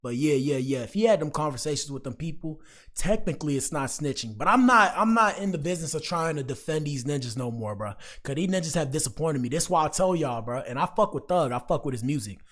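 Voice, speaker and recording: A man's voice: a little high, animated, fast talker, a bit bright. An American man doing casual, slangy livestream commentary, speaking directly to his viewers as he reacts to clips.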